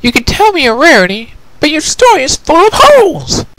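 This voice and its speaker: Cartoon pony heroine, young female: high, swooping, distrustful and sharply emphatic. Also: wildly swooping pitch, a little fast, a bit bright, no echo, full character voice, rough recording. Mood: exasperated, distrustful. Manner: indignant, emphatic, stammering when upset.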